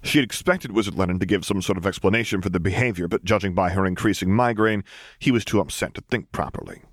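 A man reading a fan novel aloud as an audiobook narrator, with steady, even narration. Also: deep voice, quick pace, dry recording, studio quality. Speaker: audiobook reader.

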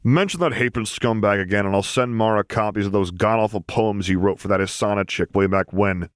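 A man voicing a cocky middle-aged smuggler with a gruff, sardonic, grumbling way of talking in an audiobook story. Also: fairly low pitch, brisk, dry recording, studio quality, close to an everyday voice. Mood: threatening.

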